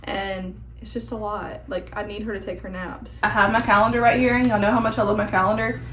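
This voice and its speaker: Vlogger woman with a hoarse, nearly lost voice, rambling casual chat, middle pitch. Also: little pitch movement, fairly quick, fairly bright tone, echoey room, a little hiss or hum. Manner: chatty, rambling, filler-heavy. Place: at home indoors.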